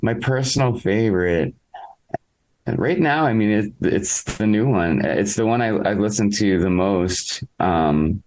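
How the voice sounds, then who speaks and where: Male musician for a podcast interview: very low voice, casual, halting, thoughtful delivery. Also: a little mellow, rough recording. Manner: halting, reflective, thinking aloud. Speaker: interviewed musician, singer in a band.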